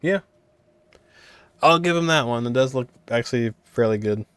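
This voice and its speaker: A man's voice: mid-range voice, moderate pace, a bit bright, clear, resonant tone. A man giving casual video game playthrough commentary, reacting in muttered asides and echoing what he hears. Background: game music.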